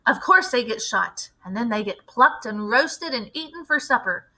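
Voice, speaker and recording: A woman voicing a firm, grimly knowing elderly grandmother in a storybook, with measured, grave delivery. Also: a little high, animated, sharp tone, full character voice. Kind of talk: storybook read-aloud character voice.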